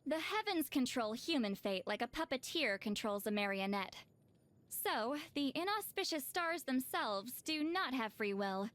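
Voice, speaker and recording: A woman's voice: high-pitched, animated, a little slow, a bit bright. A woman voicing a reclusive video game shaman, dry and weary yet easily flustered and defensive. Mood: explaining, mystical. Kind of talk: video game character voice lines.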